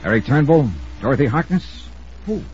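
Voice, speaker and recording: A wry American detective of vintage radio drama as a man acts him, with a mid-pitched voice and lively swings of intonation. He is brisk and hard-boiled, heard on a muffled old broadcast recording. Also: soft background music, lightly acted. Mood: tense, urgent. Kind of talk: 1950s radio drama acting and first-person narration.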